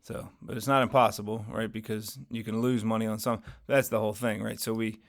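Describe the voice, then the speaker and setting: A man hosting a casual market recap, talking through trades in a rambling, conversational way with lots of fillers while his voice grows hoarse. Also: fairly low pitch, flat delivery, brisk. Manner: conversational, rambling, many fillers and self-corrections. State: voice getting hoarse, losing his voice. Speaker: finance channel host.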